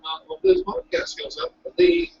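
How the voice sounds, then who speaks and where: A man's voice: very high voice, moderate pace, creaky. A man, a director and editor, chatting over a call in an eager, rambling way. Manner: eager, rambling, self-correcting. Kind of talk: casual chat over a call. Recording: distant lo-fi call audio with faint hiss.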